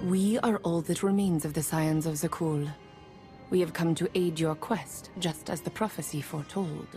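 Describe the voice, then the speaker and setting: An actress playing a solemn prophetic seer in a video game, grave and measured in manner. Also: female voice, low voice, steady tone, lightly acted. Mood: solemn, foreboding. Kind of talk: video game cutscene acting. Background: faint game music.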